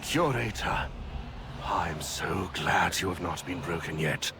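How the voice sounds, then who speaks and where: Loyal game prince, very deep n slow, earnest and dignified, noisy background. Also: male voice. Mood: resolute. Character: noble, loyal rebel prince, weary but resolute. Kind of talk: video game cinematic acting. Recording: clear background noise and ambience.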